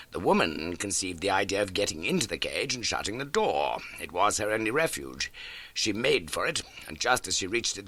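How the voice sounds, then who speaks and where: A man voicing a steady, earnest gentleman companion to a detective in an audiobook, reasoning in a measured way. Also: sits low, full character voice. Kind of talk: audiobook character dialogue.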